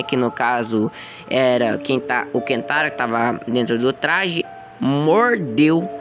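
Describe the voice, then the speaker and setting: A young man with a Brazilian accent hosting a casual explainer, telling a story informally and talking straight to viewers. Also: fairly high pitch. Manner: informal, conversational, addresses viewers directly. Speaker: video host. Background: music.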